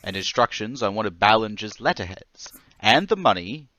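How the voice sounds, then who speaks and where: A man playing a meek, polite and nervous murder suspect in an old-time radio mystery, hesitant and stammering but quietly stubborn. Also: lowish voice, expressive, moderate pace, no room sound. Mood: earnest, insistent.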